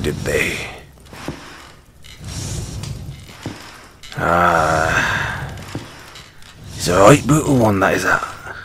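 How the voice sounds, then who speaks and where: Over a noisy home recording comes a man voicing a hearty old-adventurer dwarf blacksmith from a fantasy audio roleplay. His voice sits on the low side and moves at a very unhurried pace. He is gruff but kind, with a folksy, loyal, avuncular manner. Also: pushed and bright, exaggerated voice. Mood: sympathetic, grim. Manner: hesitant, folksy, avuncular, addresses listener as lad. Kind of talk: fantasy audio roleplay acting, second-person dialogue.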